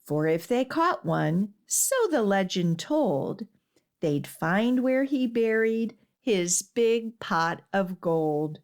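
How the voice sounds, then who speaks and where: A woman hosting a children's storytime and reading a picture book aloud in an expressive, deliberate way. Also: mid-range voice. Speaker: children's librarian and storytime host.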